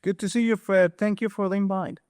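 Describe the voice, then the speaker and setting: Man from Mexico, 40s, Mexican Spanish accent; eager guest, smooth clear tone. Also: high voice, fairly even intonation, fairly quick, a little mellow. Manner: eager, restarts often, occasional chuckle. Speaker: guest, supply chain executive. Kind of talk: conversational interview answers.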